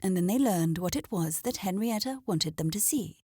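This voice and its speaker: A woman reading a scripted children's story aloud as an expressive narrator. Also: lowish voice, normal speed, breathy edge, dry recording, clean studio sound.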